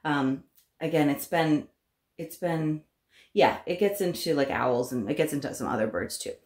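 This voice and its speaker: A woman's voice: deep voice, moderate pace, darkish tone. A woman in her thirties talking casually and conversationally to camera, giving recommendations at home and correcting herself as she goes. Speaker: homeschooling parent vlogger. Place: small room at home, indoors. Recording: roomy indoor echo.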